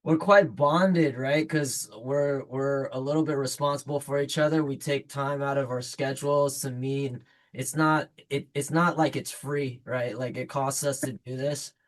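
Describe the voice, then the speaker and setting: A man in his late thirties who grew up in China, speaking earnestly in a casual interview and pausing to restart his sentences. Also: mid-range voice, steady tone. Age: 30s. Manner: earnest, reflective, halting restarts. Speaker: band member.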